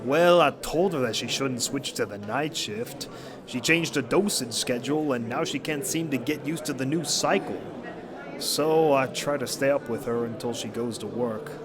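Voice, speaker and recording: A man's voice: mid-range voice, moderate pace, crowd noise, close to an everyday voice. Weary grumbling stallion played by a man, murmur behind. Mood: weary, kindly. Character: weary, grumbling stallion shop worker, kindly underneath. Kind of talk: scripted audio drama, casual dialogue.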